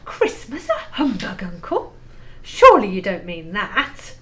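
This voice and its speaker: A woman's voice: medium pitch, wildly swooping pitch, unhurried, bright, forceful tone, full character voice. A woman playing a cheerful, kind-hearted young nephew in an audio play, earnest and good-humoured. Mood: merry, teasing. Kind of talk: amateur audio play acting.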